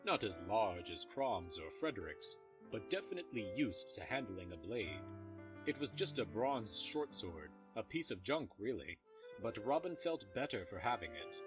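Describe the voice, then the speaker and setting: A man's voice: on the low side, big pitch swings, normal speed, music in the background. A man reading scripted third-person fantasy narration as the narrator of an audio drama.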